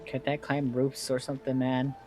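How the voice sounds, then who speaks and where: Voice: mid-range voice, completely flat delivery, moderate pace, music in the background. Playful joking game streamer. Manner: playful, joking, loud outbursts. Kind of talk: casual gameplay commentary.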